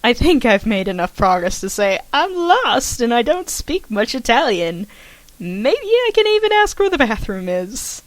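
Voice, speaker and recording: A woman gives voice to a shy young college student, soft-spoken and a little nervous, slipping in dry jokes. The voice sits close to an ordinary speaking pitch in a storybook reading, recorded dry and near the mic. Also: sing-song, measured pace, no room sound. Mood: wry.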